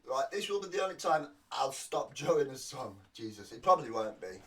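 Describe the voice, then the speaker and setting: A man's voice: mid-range voice, very animated, fairly quick, over loud music. A man hosting a reaction video in casual, rambling chat, sounding a little sniffly from a cold. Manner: rambling, self-deprecating asides. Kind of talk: casual chatty commentary. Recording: distant mic with faint noise.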